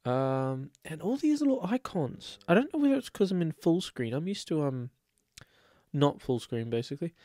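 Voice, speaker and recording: A man's voice: a little high, sing-song, moderate pace, mellow, dry recording, clean studio sound. A man with a slight cold, chatting casually and rambling as he plays a building game. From Australia. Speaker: let's play host. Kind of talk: casual gameplay commentary.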